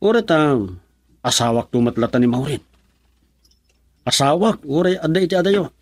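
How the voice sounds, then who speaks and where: An actor playing a selfish straying husband with a Filipino accent, brooding and defiant in a radio drama monologue. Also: male voice, a little high, lively intonation, normal speed, no room sound, clean studio sound.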